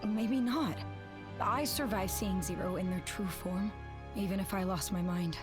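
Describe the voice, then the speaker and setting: A young woman playing an earnest, clever teenage alien girl in an animated video game, thoughtful and dryly teasing. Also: mid-range voice, measured pace, over music, natural voice. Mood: alarmed.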